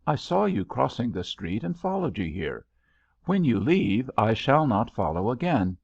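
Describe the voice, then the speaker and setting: Man reading as an impetuous young suitor, animated and earnest. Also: mid-range voice, unhurried, creaky, breathy edge, dry recording, heavily acted. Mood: urgent, earnest. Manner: urgent, pleading, courtly. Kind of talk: audiobook character dialogue. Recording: slightly dull, lo-fi, dry audio.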